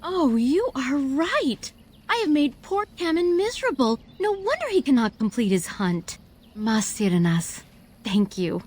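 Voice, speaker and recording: A woman's voice: sits high, big pitch swings, a little slow, darkish tone. A woman playing an earnest, lovelorn young elf in a fantasy video game, speaking in formal phrases. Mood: remorseful, resolved.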